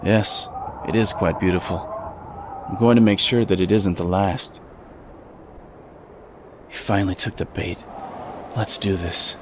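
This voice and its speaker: Radio drama hero, male actor: lowish voice, solemn resolute gunslinger, noisy background. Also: fairly even intonation, measured pace, long pauses. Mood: resigned, determined. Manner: solemn, determined. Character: grim, resolute lone gunman, husband and father. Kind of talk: old-school radio drama acting.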